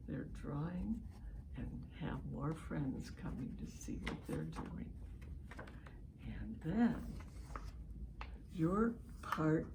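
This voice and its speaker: A woman reads a picture book aloud to children with lively, swinging intonation and an unhurried pace. She lingers on phrases and encourages young listeners with little questions. There is clear background noise in the home recording. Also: mid-range voice. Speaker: read-aloud host for children. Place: at home, online session.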